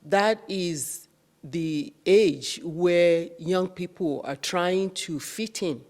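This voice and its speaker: Slow-talking, low-voiced woman host. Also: a little mellow. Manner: slow, hesitant with fillers. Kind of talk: interview conversation.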